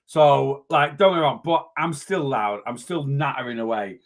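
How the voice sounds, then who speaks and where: Hoarse, chatty weight loss coach. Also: male voice, mid-range voice, moderate pace. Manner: chatty, rambling, self-interrupting. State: hoarse, losing his voice. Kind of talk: casual vlog chat.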